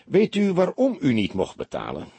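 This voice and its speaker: Calm, authoritative male investigator for a crime audiobook a man acts him out, deliberate, expressive, slightly rough-voiced. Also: on the high side, dry recording, pitched above the performer's own voice, full character voice. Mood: slow, knowing. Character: calm, shrewd veteran male police detective.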